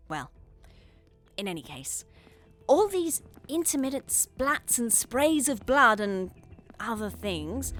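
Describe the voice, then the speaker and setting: An actress playing an eccentric forensic doctor in an audio drama, wry and theatrical, eagerly explaining gruesome puzzles. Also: female voice, on the high side, fairly bright tone. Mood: wry, measured.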